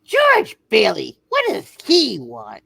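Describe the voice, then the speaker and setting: A woman's voice: huge pitch swings, slow pace, gravelly, fairly bright tone, breathy edge, no room sound, clean studio sound, exaggerated voice. A woman playing a nagging, bossy mother in a hammed-up amateur radio play reading. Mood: scolding, suspicious. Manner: shrill, bossy, scolding. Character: nagging, disapproving mother of a grown daughter.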